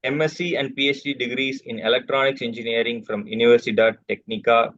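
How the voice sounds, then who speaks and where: A man, hosting an online lecture and formally reading out a guest's credentials in measured bursts. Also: mid-range voice, little pitch movement, fairly bright tone, dry recording. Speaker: host introducing a guest speaker. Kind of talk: formal reading of a speaker introduction. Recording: dull, dry online call audio.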